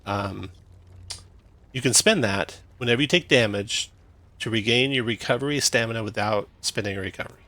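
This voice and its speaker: Low-voiced man running a tabletop game. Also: moderate pace, no room sound. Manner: relaxed, explanatory, with fillers. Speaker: game master.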